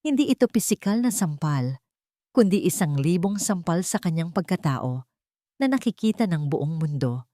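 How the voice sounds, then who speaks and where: A woman narrating a scripted audio drama in a measured, suspenseful way. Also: lowish voice, big pitch swings, mellow, no echo, clean studio sound.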